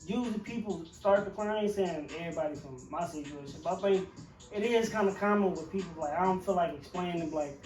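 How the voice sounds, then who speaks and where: A man from Michigan, a chef and podcast co-host, telling rambling animated stories in casual chat. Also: high voice, quick pace, reverberant, distant mic, low-quality recording.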